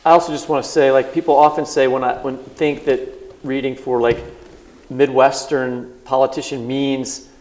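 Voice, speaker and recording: A man's voice: mid-range voice, little pitch movement, normal speed, a little mellow, low-quality recording. A man hosting a live podcast, asking thoughtful, rambling questions with many restarts. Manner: thoughtful, rambling, self-interrupting. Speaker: podcast host. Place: live event on stage.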